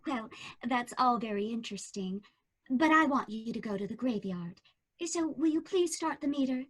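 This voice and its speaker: An actress playing an eerie, calm young woman in her twenties in a radio drama, speaking in a cool, knowing, quietly insistent way. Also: a little high, moderate pace. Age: 20s.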